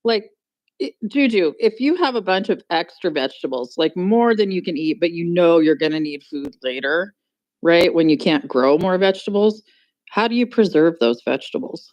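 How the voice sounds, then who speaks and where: A blunt woman presses her point in a casual panel debate and swears freely. She speaks at an ordinary pitch. The audio is dull and lo-fi, with a dry room. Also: animated, normal speed, no room sound.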